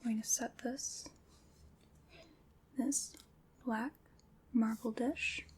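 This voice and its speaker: Calm witch, played by a woman, whispered ASMR roleplay, very slow and soothing, lightly high voice, noisy background. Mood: gentle, reassuring. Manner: hushed, slow, deliberate whispering. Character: calm witch leading a Samhain ritual, hushed and soothing.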